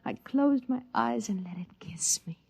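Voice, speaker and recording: An actress playing a dreamy, despairing wife in a 1950s radio drama, wistful and theatrical, with a hushed, breathy voice. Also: female voice, a little high, expressive, normal speed, heavily acted. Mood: enraptured. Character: dreamy, despairing wife shut in a post-war shelter.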